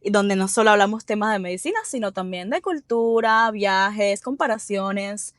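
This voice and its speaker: A woman's voice: medium pitch, moderate pace, no room sound, clean studio sound. A woman with a Cuban accent hosting a vlog, chatting casually and expressively to the camera. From Cuba. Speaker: vlog host.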